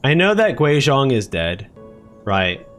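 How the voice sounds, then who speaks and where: A man giving live reaction commentary on a video game, excitable and often repeating himself. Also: mid-range voice, slow pace, faint music behind. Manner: excitable, stammering repeats, chanting asides.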